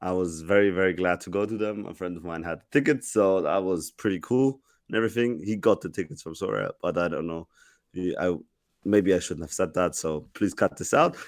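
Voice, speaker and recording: A man who is sick and sounds deeper than usual, telling a rambling story on a casual podcast with many restarts. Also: brisk, dry recording, studio quality. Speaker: podcast co-host.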